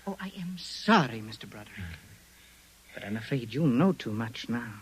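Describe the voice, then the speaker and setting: A sinister, husky Martian spymaster. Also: male voice, mid-range voice, animated, normal speed. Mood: sinister calm. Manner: smooth, sinister, coldly polite. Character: scheming male Martian spy leader. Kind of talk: 1950s radio drama acting.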